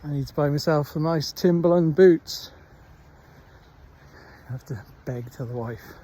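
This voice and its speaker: Mellow-voiced Welsh man rambling on a walk. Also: fairly high pitch. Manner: rambling, unhurried chat with frequent pauses. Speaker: vlogger. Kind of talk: casual walking vlog. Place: outdoors on a countryside dog walk. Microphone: handheld phone.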